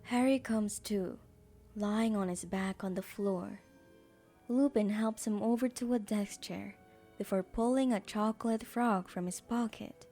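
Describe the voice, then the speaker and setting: A woman narrator reads a scripted audio drama at an unhurried pace. Her tone is mellow, and faint music plays behind her storytelling. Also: mid-range voice.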